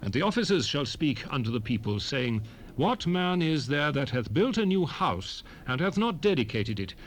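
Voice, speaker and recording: Stern bible lawgiver addressing his people, solemn and emphatic, very animated intonation, old cassette with slight noise. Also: on the high side. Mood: commanding, exhorting. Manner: declamatory, emphatic, measured phrasing. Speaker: scripture narrator in a dramatized Bible recording. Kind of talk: solemn dramatic scripture reading. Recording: 1970s cassette rip, faint background noise.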